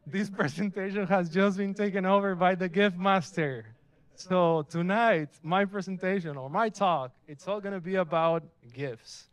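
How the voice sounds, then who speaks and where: A man's voice: a little high, clear, resonant tone. A young adult man from Mexico gives a casual, upbeat talk at a live tech meetup, asking the audience questions as he goes. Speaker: meetup speaker. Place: event space with a live audience.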